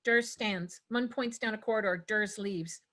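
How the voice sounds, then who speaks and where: A woman's voice: medium pitch, measured pace, a bit bright. A woman narrating stage directions at a scripted table read, occasionally stumbling over words.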